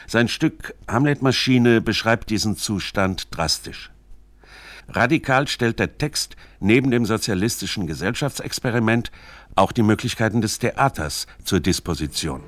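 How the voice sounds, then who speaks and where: A man narrating a scripted literary radio feature in a measured, formal, articulate manner. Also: on the low side, no room sound.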